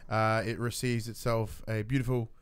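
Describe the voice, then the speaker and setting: A man's voice: on the low side, flat delivery, moderate pace, clean tone. A man co-hosting a podcast in a casual, chatty style. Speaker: podcast co-host. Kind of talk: casual chat.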